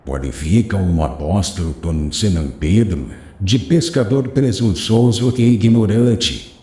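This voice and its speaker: A man voicing a wise, gentle old rabbi teacher who consoles his pupil in a slow, solemn preaching cadence. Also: fairly low pitch, pitched below the performer's own voice, lightly acted. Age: older. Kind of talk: audiobook character reading. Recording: roomy echo.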